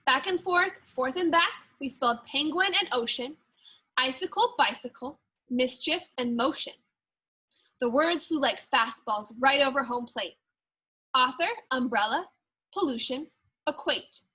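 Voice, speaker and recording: Female primary teacher for storybook read-aloud: high-pitched, bright, expressive, deliberate with dramatic emphasis. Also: slightly rough, poor audio. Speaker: second grade teacher. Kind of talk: expressive children's picture-book read-aloud.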